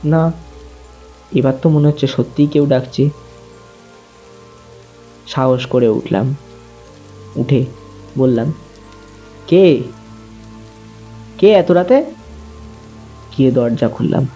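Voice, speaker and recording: Slow, dark-voiced male horror storyteller. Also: mid-range voice, little pitch movement. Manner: slow, suspenseful telling. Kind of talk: first-person horror story narration. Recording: muffled lo-fi with faint music.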